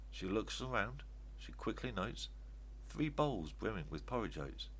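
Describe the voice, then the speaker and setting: An older man reads rhyming verse aloud as children's storytelling, in an expressive, emphatic way. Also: on the low side, rough recording. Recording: steady background noise with hum.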